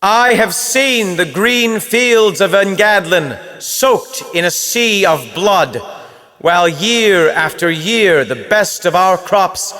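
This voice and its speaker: High, bright-voiced rallying leader. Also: male voice, lively intonation, slow pace, exaggerated voice. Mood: stirring, grave. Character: impassioned leader rallying his people against invaders. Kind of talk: audiobook oratory.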